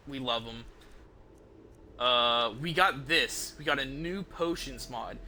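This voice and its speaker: Bright-voiced male game streamer, casual rambling. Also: a little high, a little hiss or hum. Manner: informal, enthusiastic, halting. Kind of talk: casual rambling livestream chat about game mods.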